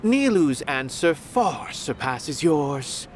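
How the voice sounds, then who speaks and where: An actor playing an aloof, philosophical art critic in an anime video game, with a measured, pompous, theatrical manner. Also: male voice, fairly high pitch, very animated, slight background noise. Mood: reflective.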